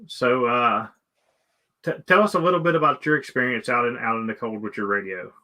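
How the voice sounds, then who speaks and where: A man hosting a casual interview, speaking haltingly and often repeating his words as he goes. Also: mid-range voice, sharp tone. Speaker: live stream host interviewing a guest.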